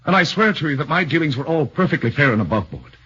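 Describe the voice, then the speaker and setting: A man's voice: on the high side, big pitch swings, quick pace, sharp tone, slightly rough, exaggerated voice. A man voicing an anxious young accused husband who speaks nervously and earnestly, stammering, in a 1940s radio drama on a muffled old broadcast.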